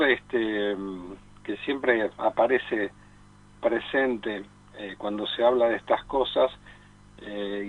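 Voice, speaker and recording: A man with an Argentine accent giving halting, reflective conversational commentary as a film columnist, calling into a radio show. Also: medium pitch. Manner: halting, reflective, repeats himself. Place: remote call into a radio studio. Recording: thin phone-line sound.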